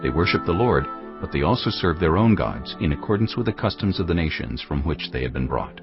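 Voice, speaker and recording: A man narrating dramatised scripture from a script in a grave, measured, dramatic manner. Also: rumbling low, animated. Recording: dull audio, music underneath.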